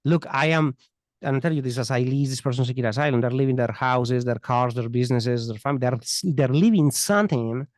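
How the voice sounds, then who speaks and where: A man's voice: medium pitch, fairly quick, dry recording, clean studio sound. A man answering as an expert podcast guest, earnest and emphatic, often repeating his phrases. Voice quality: thick foreign accent. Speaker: interview guest, immigration attorney. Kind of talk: unscripted podcast interview answers.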